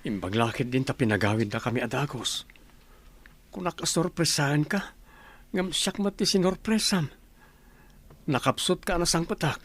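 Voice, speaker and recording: A man's voice: on the high side, moderate pace, long pauses, a bit bright, slightly rough. A man acting in an Ilocano radio drama as a worried, indignant husband, earnest and reproachful, with an Ilocano Filipino accent. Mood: concerned. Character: worried, indignant husband scolding his parents.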